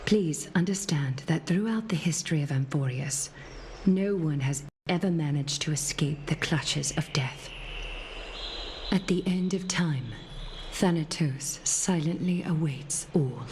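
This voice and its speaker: A woman voicing a solemn, composed leader in a video game, speaking formally and with measured poise. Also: deep voice, darkish tone, slight background noise. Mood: solemn, grave. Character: solemn, composed female leader weighing omens and fate. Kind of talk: scripted video game dialogue. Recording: music under the voice.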